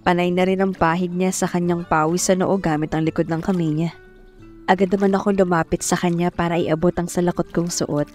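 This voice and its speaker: A woman's voice: lowish voice, little pitch movement, brisk, darkish tone, soft background music, lightly acted. A young woman playing a shy, hardworking farm girl who narrates her own story in a radio drama, polite and earnest. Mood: earnest, reflective. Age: young adult. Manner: polite, hesitant when addressing others. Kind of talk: first-person radio drama narration and dialogue.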